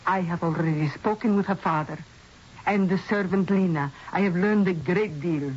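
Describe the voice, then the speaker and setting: A woman's voice: on the low side, normal speed, darkish tone, lightly acted. A woman acting a mystical psychic from a 1970s radio play, earnest and theatrical. She shapes each phrase with solemn deliberation. The sound is dull, like an old broadcast, with some hiss and noise around it. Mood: cool, insistent.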